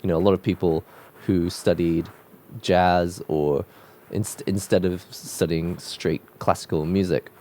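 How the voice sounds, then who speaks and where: A man with a cold-croaked voice talking casually and reflectively while drawing, musing with loose restarts. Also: low-pitched, steady tone, measured pace, a little mellow. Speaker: art vlogger drawing on camera.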